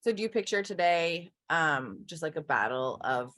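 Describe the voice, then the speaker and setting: A woman's voice: deep voice, lively intonation, normal speed, fairly bright tone. A woman hosting a casual sports interview, talking in a direct, probing way. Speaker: sports show host, interviewer.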